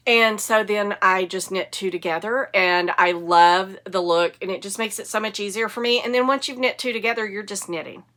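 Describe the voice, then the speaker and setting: A woman's voice: mid-range voice, steady tone, moderate pace, hardly any pauses, a bit bright. A woman, sniffly from a cold, hosting a casual knitting podcast in a chatty, enthusiastic, rambling way. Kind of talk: casual show-and-tell chat.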